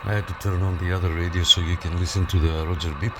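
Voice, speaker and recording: A man giving a casual, hands-on product walkthrough, explaining step by step and often checking in with a quick okay. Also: deep voice, flat delivery, heavy background noise. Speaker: radio reviewer, demonstrator.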